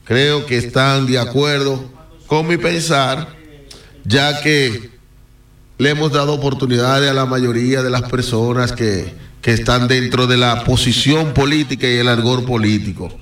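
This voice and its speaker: A man's voice: medium pitch, steady tone, unhurried, fairly bright tone. A man, a radio guest giving his views in an earnest, insistent way. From Dominican Republic. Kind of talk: opinionated radio talk. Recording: roomy studio, mic at a distance.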